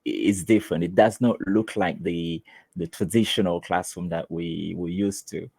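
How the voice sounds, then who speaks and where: A man from Haiti, Haitian Creole his first language, speaks slowly in a very deep, gravelly voice. He answers interview questions as a teacher, halting and restarting often. The sound is close and dry. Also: steady tone, darkish tone, dry recording. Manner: halting, many restarts and fillers. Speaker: teacher and guest.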